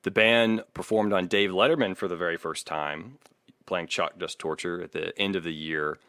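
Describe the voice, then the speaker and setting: Bright-voiced, low-pitched man co-hosting a podcast. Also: steady tone, moderate pace, clear, resonant tone, dry recording, studio quality. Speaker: podcast co-host. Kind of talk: casual music history narration and banter.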